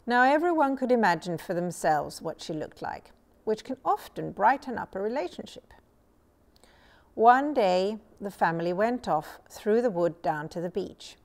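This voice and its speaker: A middle-aged woman reading a children's story aloud as a storyteller, measured and expressive. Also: mid-range voice. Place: small furnished room indoors. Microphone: lapel mic.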